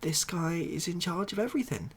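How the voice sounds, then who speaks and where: A British man doing a soft-spoken ASMR comedy roleplay as a glum, overwhelmed ex-builder, rambling along in a hesitant, deadpan way. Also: fairly high pitch, a little fast, slightly rough. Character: glum, overwhelmed ex-builder made president of the world.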